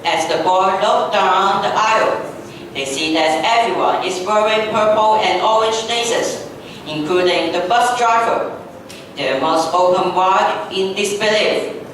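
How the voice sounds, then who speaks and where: A woman's voice: medium pitch, a little slow, fairly bright tone. Distant, echoey woman narrator. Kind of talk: scripted narration, readers' theatre. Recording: very echoey room, distant mic, noisy.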